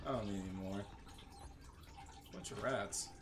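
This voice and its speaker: Low-voiced man muttering game commentary. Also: unhurried, background noise. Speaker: gaming video host. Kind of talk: casual video game commentary.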